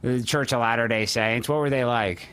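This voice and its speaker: A man's voice: mid-range voice, fairly even intonation, normal speed, a bit bright, clear, resonant tone. A man hosting a talk show with brash, joking, opinionated banter in a casual style.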